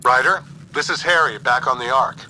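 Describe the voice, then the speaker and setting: A man playing a calm, matter-of-fact human ship's doctor in a video game, calling informally over the comm. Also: mid-range voice, normal speed, slight background noise, rough recording. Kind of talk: video game dialogue acting.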